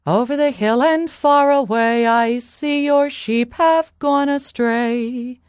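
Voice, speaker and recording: A woman's voice: mid-range voice, animated, moderate pace, dry recording, studio quality, natural voice. A woman voicing a clever, brave storybook girl with a gushing, wheedling manner. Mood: calling out. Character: clever, brave girl feigning relief and pleading.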